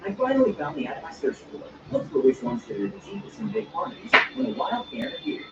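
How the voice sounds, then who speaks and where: Fast tts voice reading reddit-type stories, bouncy pitch, coming from a tv across an echoey room. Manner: flat synthetic reading that spells out abbreviations. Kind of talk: text-to-speech reading of online stories. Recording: distant echoey speaker audio, dull and noisy.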